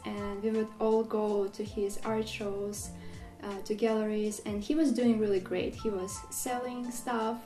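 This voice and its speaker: A woman in her twenties from Ukraine with a Russian accent, speaking English as a second language in a casual vlog about herself, unhurried and plain, with slightly non-native phrasing. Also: on the high side, darkish tone, reverberant. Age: 20s. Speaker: artist vlogger. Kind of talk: casual facts-about-me talk.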